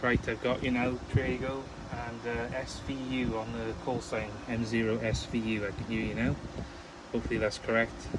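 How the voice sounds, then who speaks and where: Male radio ham, chatty and rambling, casual delivery, average pitch, clear background noise. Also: flat delivery, normal speed. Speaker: amateur radio operator, channel host.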